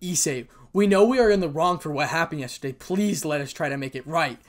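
A man's voice: a little high, brisk, lightly acted. A man voicing an apologetic teenage girl in a story, earnest and pleading. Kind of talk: amateur story reading, acted dialogue.

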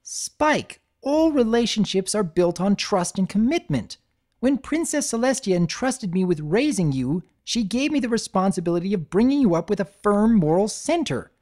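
A woman's voice: mid-range voice, expressive, normal speed, mellow, pitched above the performer's own voice, natural voice. A woman voicing a stern young female pony who lectures indignantly. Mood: lecturing.

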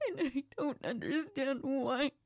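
A woman playing a heartbroken young woman crying to her best friend in an intimate audio role-play, halting and apologetic, often slipping into whispers. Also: sits high, darkish tone, dry recording. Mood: sobbing, heartbroken. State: crying, tearful, sniffling.

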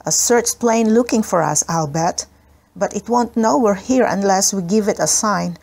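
A woman's voice: mid-range voice, normal speed, creaky, darkish tone. A woman reading the part of a resourceful young girl in a children's book, practical and instructing. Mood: explaining.

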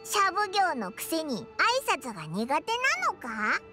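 A woman voicing a tiny childlike fairy companion in an anime-style video game, squeaky, cheeky and blunt, with chatty boyish phrasing. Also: sing-song, unhurried, over music. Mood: puzzled, excitable.

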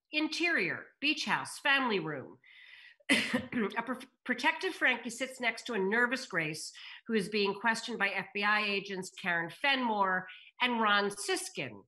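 Bright-voiced older woman reading stage directions. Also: medium pitch, expressive, unhurried. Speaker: table-read narrator reading stage directions. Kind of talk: scripted narration read aloud. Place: room at home. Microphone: video call.

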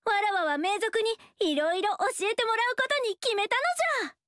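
A woman's voice: extremely high pitch, expressive, moderate pace, a bit bright, no echo, clean studio sound. A woman playing a playful, curious young noble girl with old-fashioned, princess-like speech in a game. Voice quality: archaic princess-like speech, childlike inquisitive. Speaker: game character. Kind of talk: game story dialogue.

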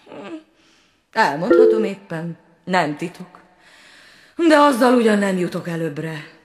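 A woman voicing a brave but proud young prince who speaks louder and brusquely, often indignant. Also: on the low side, very animated.

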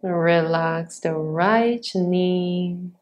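Very slow, low-voiced woman guiding meditation. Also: darkish tone. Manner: unhurried, measured, with long pauses between short instructions. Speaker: yoga nidra teacher guiding a practice. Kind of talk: guided meditation.

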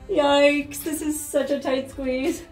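A young woman vlogging as she explores a narrow cave, chatty and full of excited exclamations, recorded on a handheld camera. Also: high voice, slow, faint music behind. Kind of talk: casual vlog narration.

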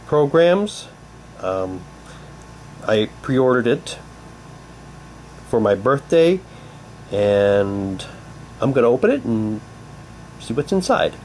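A man giving a halting, unhurried, casual commentary as he shows and reads out items for an unboxing video. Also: mid-range voice. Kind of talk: casual unboxing commentary, reading packaging aloud. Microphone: handheld camera's built-in mic. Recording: noisy home camcorder, steady hum.

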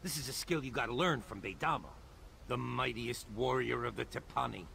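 An actor playing an intense rebel scientist in a video game, a defiant mentor with a gravelly voice. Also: male voice, mid-range voice, a little fast, pushed and bright, slight background noise. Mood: grave resolve. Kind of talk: dramatic video game dialogue.

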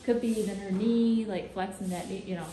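A woman vet giving advice in a relaxed, casual way, with many fillers. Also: medium pitch, fairly quick. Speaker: equine vet. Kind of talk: casual consultation. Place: vet clinic barn. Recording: echoey room with clear noise.

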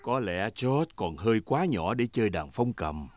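A man's voice: on the low side, very animated, normal speed, darkish tone, faint music behind. A man as a friendly storybook narrator, reading scripted narration for a children's cartoon. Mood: narrating.

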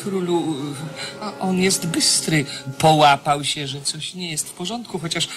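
An actor playing an obsequious, scheming journalist spy in a radio play, fawning and self-justifying. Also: male voice, a little high, a little slow, fairly bright tone, soft background music. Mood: anxious, whining.

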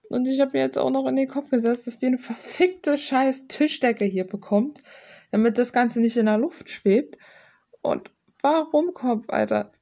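A young woman talks as a video creator in a casual, rambling way. Her voice has a noticeable creak. She is blunt and informal, using slang and swear words freely. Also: sits high, measured pace, mellow. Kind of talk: casual rambling art vlog chat.

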